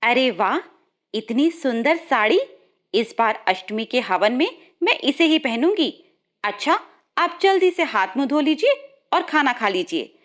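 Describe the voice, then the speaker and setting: A woman's voice: high voice, sing-song, a little slow, fairly bright tone, full character voice. A woman voicing a devoted, caring young village wife in a story. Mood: eager, affectionate. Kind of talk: story dialogue.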